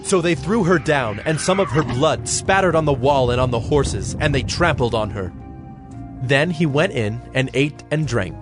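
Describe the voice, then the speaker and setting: A man's voice: mid-range voice, animated, moderate pace, over music. Male narrator, mid-range voice, scripted dramatized scripture reading with light score beneath.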